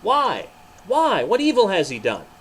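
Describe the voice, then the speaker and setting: A man reading a storybook aloud, playing a commanding but exasperated Roman governor with loud, emphatic questions. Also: high voice, wildly swooping pitch, unhurried, husky, voice pushed up, exaggerated voice.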